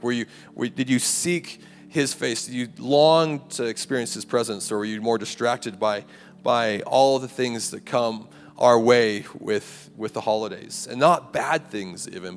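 Raspy male preacher in a hall. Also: on the low side. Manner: conversational preaching with rhetorical "right?" and "like". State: hoarse, clearing throat. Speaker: campus ministry preacher. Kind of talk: sermon. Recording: live hall recording, long reverb, faint music.

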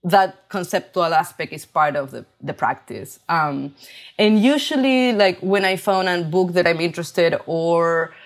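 A woman in her forties from Chile with a Chilean Spanish accent, talking haltingly about her art practice with many fillers and restarts. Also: lowish voice, lively intonation. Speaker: artist.

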